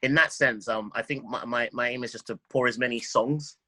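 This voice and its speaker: A man speaking as a songwriter guest in an interview, reflective and halting, often repeating words as he thinks. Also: medium pitch, pushed and bright, no echo.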